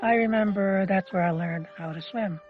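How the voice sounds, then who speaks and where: A man's voice: high-pitched, normal speed, phone-quality sound, music in the background. An older man from Canada recalling his childhood in an informal, plain-spoken, matter-of-fact way. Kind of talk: informal reminiscence.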